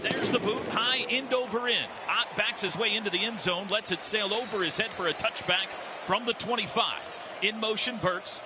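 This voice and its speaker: A man's voice: high voice, normal speed, very noisy. An American man calling football play-by-play on the radio in clipped, punchy, emphatic phrases. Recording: radio broadcast under loud music. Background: loud music.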